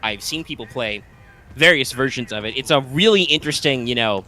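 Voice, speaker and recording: Bright-voiced man rambling over a game stream. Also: medium pitch, animated, brisk, faint music behind. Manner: rambling explainer with frequent "like" and "uh", casual swearing. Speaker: co-host of a live gaming stream. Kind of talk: casual live-stream chat over gameplay.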